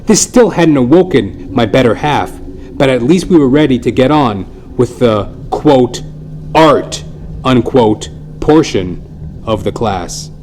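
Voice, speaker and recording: A man's voice: medium pitch, lively intonation, takes it slowly, darkish tone, a little hiss or hum. A man narrating a scripted comic story in a theatrical, mock-grandiose, wry manner. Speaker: comedy podcast host, storyteller. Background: music.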